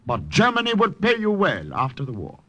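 A smooth, scheming German officer in vintage radio drama. His pitch swoops very widely, over a faintly noisy old recording. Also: wildly swooping pitch, brisk, sharp tone, heavily acted. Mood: persuasive. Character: male German military commander, smooth and calculating. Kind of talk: 1940s-50s radio drama acting.

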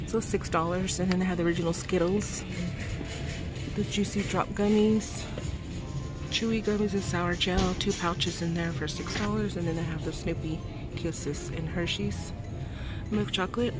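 A woman with a slightly low voice talks through a store aisle, naming products and prices as she goes. She films on a handheld phone. Loud background music sits under her voice. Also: steady tone, moderate pace. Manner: reads out products and prices, soft laughs. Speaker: vlogger. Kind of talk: casual shop-with-me narration. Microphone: phone held while filming. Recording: music overlay loud behind voice. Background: store noise and music.

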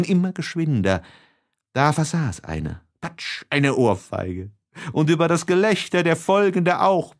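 Langsam lesender männlicher Hörbucherzähler. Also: male voice, fairly high pitch. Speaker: audiobook narrator. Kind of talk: literary audiobook narration.

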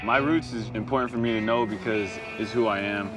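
Young american guy talking earnest and plain, lowish voice, music playing under him. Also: a little fast. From United States. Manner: earnest, reflective, plain-spoken. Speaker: basketball player. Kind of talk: documentary talk and speech.

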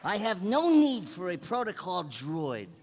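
A man's voice: high-pitched, wildly swooping pitch, measured pace, noisy background, exaggerated voice. A man voicing a fussy, bossy, giggly cartoon uncle in a live script read. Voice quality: bright, forceful. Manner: exaggerated, breaking into giggles.

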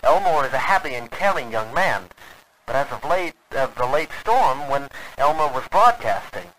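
A man playing a worried 1920s radio station worker, dictating a formal, measured log on a noisy old recording. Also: medium pitch, very animated, a bit bright. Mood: grave, worried. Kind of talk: old-time radio drama narration, formal diction.

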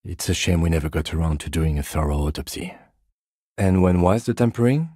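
A methodical, patient police detective in a video game. His voice is extremely deep and mellow, with a husky grain. He speaks in a measured, restrained way, dry and deadpan. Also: no room sound. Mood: calm, probing. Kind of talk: scripted game dialogue.

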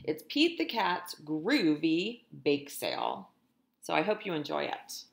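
Woman, storytime teacher for small kids. Unhurried, expressive, talks straight to the children, in an echoey room. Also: low-pitched, creaky, slight background noise. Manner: expressive, deliberate, addresses children directly. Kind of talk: picture-book read-aloud for young children. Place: indoors in a room at home.

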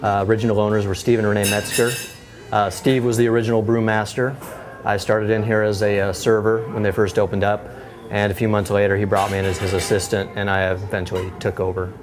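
A man in his thirties, a head brewer giving a casual tour of his small brewery, relaxed and full of fillers and restarts. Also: on the low side, brisk, music in the background. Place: small brewery inside a bar, among steel tanks. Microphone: lapel mic.

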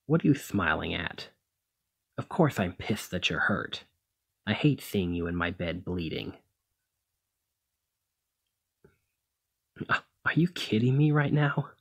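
A man playing a protective, conflicted demigod hero in a one-sided ASMR roleplay, speaking emotively and haltingly to the listener. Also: low-pitched, expressive, gravelly, husky, full character voice. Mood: teasing, pained. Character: brawny male demigod hero, protective, conflicted, exasperated lover.

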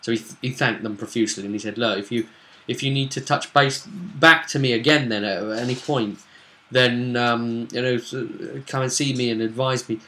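A British man in his thirties casually recounting a tabletop game to camera in a halting, unscripted way, in a small room at home. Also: medium pitch, steady tone, sharp tone. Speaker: game master recapping a tabletop role-play session.

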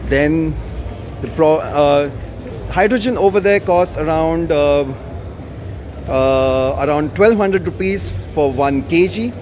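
A man's voice: fairly high pitch, slow pace, darkish tone. A man presenting a car on a walkaround at an expo, explaining casually with plenty of ums and uhs. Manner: casual explaining with many fillers. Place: large indoor auto expo hall. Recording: noisy expo hall.

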